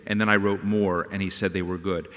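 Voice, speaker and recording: American male moderator for a stage interview, slightly deep voice, heard in a reverberant hall. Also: level tone, brisk, fairly bright tone. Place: live event stage with audience. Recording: reverberant hall sound system.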